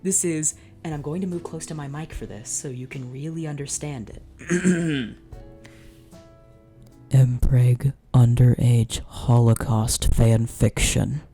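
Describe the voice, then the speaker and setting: Low-voiced woman reading with sarcastic asides. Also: slow, dark tone, breathy edge, soft background music. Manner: exasperated, sarcastic commentary. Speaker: YouTuber reading fan fiction. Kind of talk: dramatic reading with comic asides.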